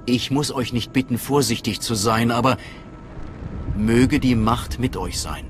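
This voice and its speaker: Scholarly male cult steward for a game, played by a man, mid-pitched, calm but persuasive, faint music behind. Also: unhurried, close to an everyday voice. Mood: earnest, persuasive. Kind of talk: dramatic video game dialogue.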